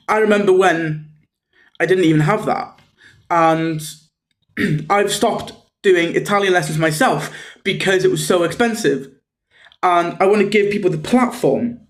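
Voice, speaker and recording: Casting: North Welsh man, native Welsh speaker, high voice, chatty hesitant sales pitch, echoey room. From North Wales. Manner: repetitive, hesitant, many ums and okays. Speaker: Welsh tutor promoting his Patreon. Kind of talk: casual self-promotional pitch.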